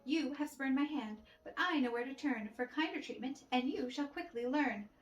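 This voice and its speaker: A woman's voice: high-pitched, normal speed, recorded from a distance, highs cut off, lightly acted. A proud young suitor from a classic stage comedy, acted out by a woman, wounded and huffy. The lines come in formal rhyme, with roomy echo and music underneath. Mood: wounded pride. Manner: theatrical, formal rhyming verse.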